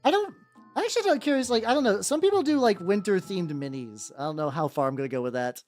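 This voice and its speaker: Chatty high-voiced young man, rambling. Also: animated, quick pace. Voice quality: relatively high-pitched for a man. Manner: rambling, repeats words, laughs often, squeals in playful high bits. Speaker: VTuber streamer. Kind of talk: casual livestream chat while painting miniatures. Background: soft background music.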